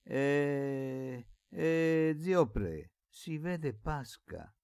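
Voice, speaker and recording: A man's voice: lowish voice, lively intonation, measured pace, warm tone, no echo, clean studio sound. A man voicing a mischievous young servant boy in a storybook, taunting and playful in a sing-song way. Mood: sly teasing. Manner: taunting, sing-song, imitates bells and barking.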